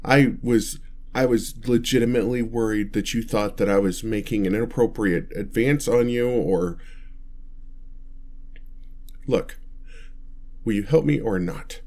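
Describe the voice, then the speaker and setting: A bashful male vampire, acted by a man for an ASMR roleplay, with a low-pitched voice. He stammers and gets flustered, too awkward and kind to be frightening. He speaks straight to the listener in halting phrases. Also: darkish tone. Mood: flustered, defensive. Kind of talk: ASMR roleplay, one-sided dialogue to listener.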